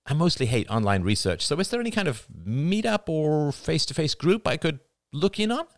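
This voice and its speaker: A man's voice: on the high side, big pitch swings, a little fast, no echo, studio quality, voice pushed up. A man voicing an eager, persistent female journalist in an audiobook. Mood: eager, curious. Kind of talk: audiobook character voice.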